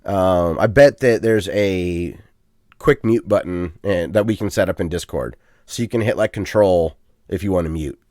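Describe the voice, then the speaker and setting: A man's voice: sits low, moderate pace, darkish tone, no echo, clean studio sound. A man co-hosting a casual gaming podcast, rambling and chatty with plenty of ums and uhs. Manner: self-deprecating, chatty. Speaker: podcast co-host.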